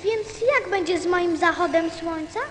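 A woman's voice: very high-pitched, normal speed, a bit bright. A woman playing a curious, frank little boy prince in a radio play, polite and questioning. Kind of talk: theatrical radio play acting. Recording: long reverb, music clearly behind.

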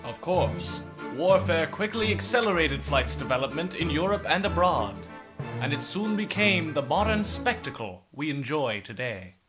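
A high-voiced man plays a stern, theatrical flight instructor. He declaims pompously, like a narrator of an old military training film, with music playing behind him. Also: very animated, moderate pace.

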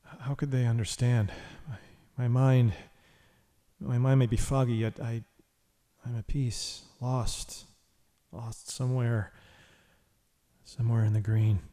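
A man acting in an audio drama as a wealthy man under a hypnotic spell, hazy and halting. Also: medium pitch, level tone, warm tone, no echo, natural voice. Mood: dazed, dreamy. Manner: halting, stumbling repetitions.

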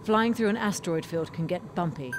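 An actress playing a cool, capable spaceship pilot in an audio drama. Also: female voice, fairly low pitch, a little fast, background noise, lightly acted. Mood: brisk, focused.

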